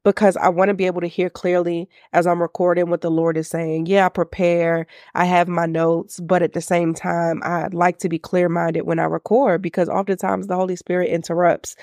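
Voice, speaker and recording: A woman's voice: fairly low pitch, darkish tone, no room sound, studio quality. A woman in her thirties hosting a faith-based business podcast, sounding congested and nasal from a cold, speaking earnestly and reflectively. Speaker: podcast host.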